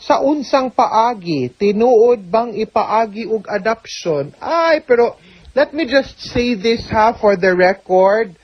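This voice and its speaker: A woman's voice: fairly high pitch, animated, takes it slowly, dull audio. A woman with a Cebuano Filipino accent hosting a radio advice show as an attorney, chattily answering a listener's letter and mixing in English with frequent tag questions.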